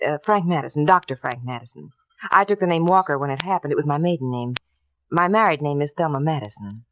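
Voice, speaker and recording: Subdued radio wife, muffled recording. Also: female voice, sits low, animated, fast talker. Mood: reserved. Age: 30s. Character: quiet, reserved married woman in her thirties. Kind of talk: 1950s radio drama acting. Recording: dull, slightly noisy vintage radio recording.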